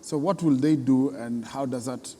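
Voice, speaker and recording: A man with a Kenyan accent interviewing conversationally, feeling his way haltingly into his questions. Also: mid-range voice, warm tone. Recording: reverberant hall with background noise.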